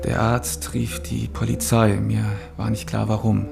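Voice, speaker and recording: Creaky, low-voiced gallery owner. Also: male voice, monotone, unhurried, music in the background. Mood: subdued, uneasy. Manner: informative, explanatory. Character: uneasy, explanatory male art gallery owner. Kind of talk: radio drama acting, measured dialogue.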